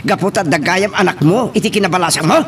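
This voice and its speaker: A man's voice: sits high, fast talker, a bit bright, a little hiss or hum. A man acting an accusing, hot-tempered husband in a radio drama, theatrical and volatile, with a Filipino accent. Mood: furious.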